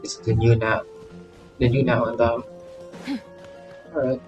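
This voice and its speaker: A woman's voice: very deep voice, fairly even intonation, measured pace. A woman game streamer giving casual let's play commentary, playfully repeating phrases over game music. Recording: loud game music behind.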